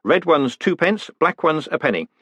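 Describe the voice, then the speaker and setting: A male narrator plays a cocky postmaster who talks in brisk orders and quick questions. His husky voice leaps about in pitch and is recorded dry and close for a storybook. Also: very animated, fairly bright tone, dry recording. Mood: brash, commanding.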